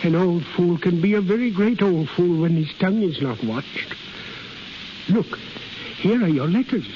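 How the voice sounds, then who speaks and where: A high-voiced, kindly old innkeeper. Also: male voice, lively intonation, a little slow. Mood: chiding, fond. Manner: quaint foreign phrasing, fatherly. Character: kindly fussing old Swiss innkeeper, devoted and blunt. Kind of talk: 1930s radio acting. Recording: muffled, hissy 1930s radio recording.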